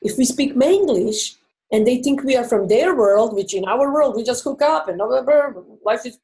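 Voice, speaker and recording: A woman's voice: on the high side, expressive, mellow, poor audio. A woman with a Croatian accent, a native Croatian speaker, giving relationship advice as an interview guest in an earnest, explaining way. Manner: earnest and explanatory, with emphatic pauses.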